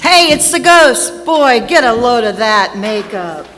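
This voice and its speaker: A woman's voice: fairly high pitch, very animated, unhurried, fairly bright tone. A woman playing a cheeky, irreverent young twin with a brash, slangy delivery in an old-time radio stage play. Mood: mocking. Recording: echoey hall, faint noise.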